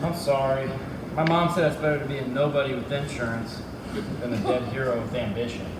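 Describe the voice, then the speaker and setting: A man's voice: mid-range voice, fairly even intonation, fairly quick, lightly acted. A man playing an earnest, naive young space janitor and nervous hero in an emphatic comic stage style. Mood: flustered. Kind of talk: comic stage readers theatre acting. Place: large echoing competition room or hall. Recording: echoey hall from far off, loud noise.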